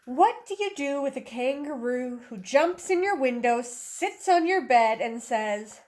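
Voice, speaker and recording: A woman teacher reading a picture book aloud to young children in an animated, instructive way, asking questions as she goes. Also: sits high. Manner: instructive, questioning, slow deliberate phrasing.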